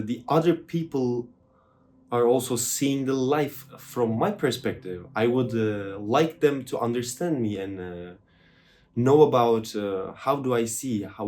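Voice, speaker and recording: A man's voice: medium pitch, a little mellow, a little hiss or hum. A young man with a Turkish accent, answering interview questions thoughtfully, with many fillers and restarts. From Turkey.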